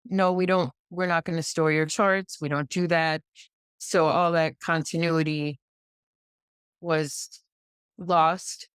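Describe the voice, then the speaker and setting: American woman, middle aged, lowish smooth voice, talking emphatically in an interview, really dry close sound. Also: steady tone, slow, a bit bright, dry recording. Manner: emphatic, rhetorical questions and repetition. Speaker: podcast guest, pediatrician. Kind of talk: conversational interview account.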